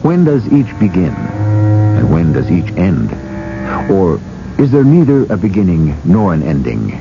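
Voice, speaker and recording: A man's voice: sits low, wildly swooping pitch, unhurried, vocal fry, full character voice. A man narrating a radio mystery drama as its brooding, portentous host. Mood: ominous, musing. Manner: portentous, rhetorical. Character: brooding male host of eerie mystery tales. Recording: muffled old radio recording with music.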